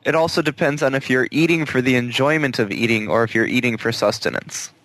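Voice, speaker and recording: A man co-hosts a podcast with playful, argumentative banter. His voice sits at an ordinary pitch. The recording is close and dry. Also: quick pace, fairly bright tone, dry recording, low-quality recording. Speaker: podcast co-host. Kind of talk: casual chat.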